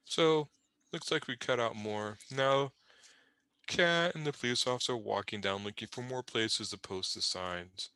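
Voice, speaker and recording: A man's voice: medium pitch, normal speed, bright, forceful tone, smooth, no echo. A man narrating comic panels off the cuff, with wry, rambling, conversational asides. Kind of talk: improvised humorous scene description.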